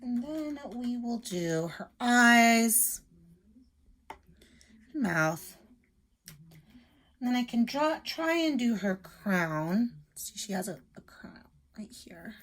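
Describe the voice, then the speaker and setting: A woman's voice: a little high, big pitch swings, slow pace, long pauses, fairly bright tone. A woman teacher reading aloud and explaining a simple lesson to young children step by step. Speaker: elementary school teacher.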